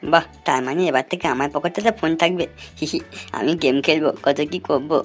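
A woman's voice: deep voice, quick pace, faint music behind, lightly acted. A woman voicing a cheeky, curious, mischievous village schoolboy in a comic cartoon. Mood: scheming, gleeful. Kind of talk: cartoon voice acting.

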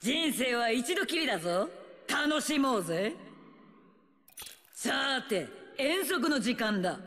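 A bloodthirsty old female warlord for a video game. Her voice is high, bright and forceful, swooping widely as she taunts. She snarls in rough slang, brash, mocking and gleeful in her menace. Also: sing-song, slow pace, heavily acted. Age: older. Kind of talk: video game character voice lines.

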